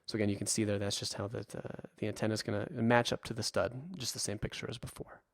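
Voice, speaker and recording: Gravelly man explaining a tutorial. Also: on the low side, flat delivery, quick pace, no room sound. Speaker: instructor and presenter for a radio equipment retailer. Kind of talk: explanatory narration of a slide tutorial.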